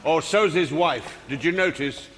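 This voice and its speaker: An actor playing a mocking, jovial Christmas spirit, theatrical and sardonic, in a radio drama. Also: male voice, a little high, measured pace, a bit bright, big-room sound.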